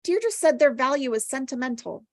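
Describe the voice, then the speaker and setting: A woman's voice: high voice, expressive, normal speed, dry recording, studio quality, voice pushed up. A woman playing a plucky, quirky and defensive young woman at a script table read. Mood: matter-of-fact, defensive.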